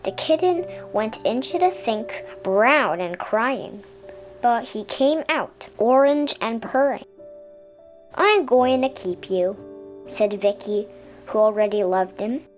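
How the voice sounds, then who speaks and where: A woman reading a children's story aloud as an expressive narrator. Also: on the high side, slow, soft background music. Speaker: storybook reader.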